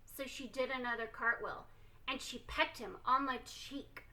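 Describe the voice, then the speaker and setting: A woman reading a children's storybook aloud expressively, with theatrical, deliberate emphasis. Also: high voice, pushed and bright, low-quality recording. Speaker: storytime reader.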